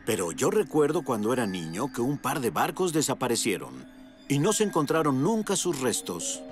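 A man playing a steady, serious character in a Spanish cartoon dub, speaking in an earnest, measured way. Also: medium pitch, soft background music, natural voice. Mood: reflective.

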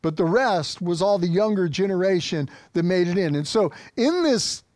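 A man's voice: high-pitched, lively intonation, normal speed, a little mellow, no echo, studio quality. An American man in his fifties preaching a sermon as a pastor, exhorting with emphatic repetition. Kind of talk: sermon to an online congregation. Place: small indoor church studio set.

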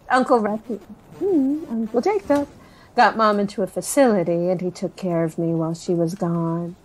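A woman's voice: medium pitch, a little mellow, lightly acted, poor audio. An actress playing a chatty, nervous woman in her forties in an audio drama, rambling through family memories with sighs and self-corrections. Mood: reflective. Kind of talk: interviewee in a found-footage audio drama.

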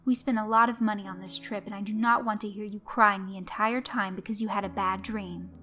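A woman's voice: medium pitch, steady tone, a little fast, bright, forceful tone, soft background music, natural voice. A woman voicing a strict middle-aged mother who scolds her daughter sharply and raises her voice. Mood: stern.

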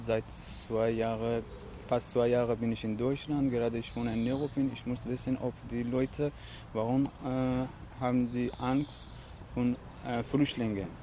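A man's voice: medium pitch, little pitch movement, measured pace, dark tone. Young man, Afghan accent, hesitant, outdoor, handheld mic, noisy square. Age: young adult. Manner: hesitant learner's German with fillers. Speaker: street interviewer. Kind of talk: vox pop interview. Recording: outdoor street noise.

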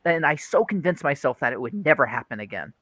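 Actor as an earnest, bright-voiced troubled young man. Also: a little high, big pitch swings, quick pace, no room sound, clean studio sound, heavily acted. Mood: confessional, embarrassed. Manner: hesitant, confessional. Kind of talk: radio play acting.

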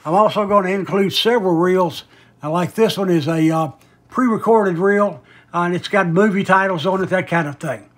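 A man's voice: high-pitched, moderate pace, fairly bright tone. A man giving a casual, folksy product demonstration as a seller, explaining in a hesitant, rambling way. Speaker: online seller demonstrating vintage audio gear.

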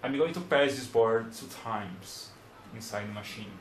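Slow-talking Brazilian man demonstrating at a desk. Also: medium pitch, reverberant, slight background noise, low-quality recording. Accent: Brazilian Portuguese. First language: Portuguese. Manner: unhurried, plain, searching for words. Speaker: hobbyist demonstrator. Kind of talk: casual how-to demonstration. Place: small room at a desk.

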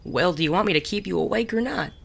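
An actor playing a suave, teasing male gentleman bandit in a Western audio drama, genteel and wry. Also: medium pitch, lively intonation, quick pace, vocal fry, slightly rough, heavily acted. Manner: genteel, wry, polite wording.

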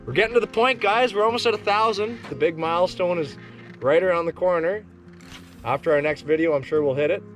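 A man, hosting a fishing vlog from a canoe and talking excitedly to viewers. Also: high-pitched, a little fast. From Canada. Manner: excited, talks to camera viewers. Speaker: fishing show host. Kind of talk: casual outdoor vlog chat. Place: canoe on a river outdoors. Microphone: action camera on the canoe. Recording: music under the voice.

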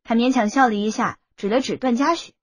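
A woman's voice: fairly high pitch, a little fast, breathy edge, dry recording. A woman reading a novel aloud as an audiobook narrator in scripted style. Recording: music bed under the voice.

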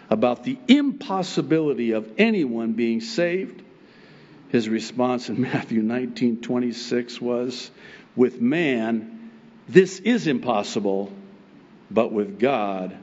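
A middle-aged man whose first language is Arabic, a pastor preaching a sermon from a pulpit microphone on a church stage, animated and chuckling often as he acts out God's replies. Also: mid-range voice, slow pace, lo-fi sound. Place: church stage with wood pulpit and plants, indoors.

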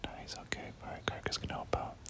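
A person whispering casual, slangy ASMR gameplay commentary, muttering reactions and repeating short phrases. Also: moderate pace. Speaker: gaming video creator.

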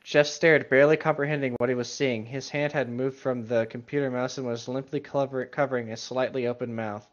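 A man's voice: mid-range voice, steady tone, fairly quick, few pauses. A man reading a story aloud casually and breaking off for irreverent, sweary commentary. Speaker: co-host reading stories aloud. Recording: dull, lo-fi microphone.

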